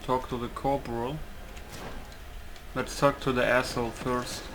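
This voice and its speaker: A man's voice: medium pitch, flat delivery, unhurried, noisy background. A man giving casual, offhand commentary on a game playthrough, muttering to himself as he plays. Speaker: gamer.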